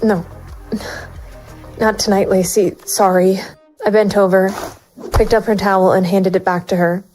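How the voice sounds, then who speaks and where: A woman's voice: medium pitch, flat delivery, measured pace, darkish tone, faint music behind, close to an everyday voice. A woman playing a brooding, conflicted private investigator narrating a noir audio drama. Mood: awkward, gentle. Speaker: narrator and lead actor.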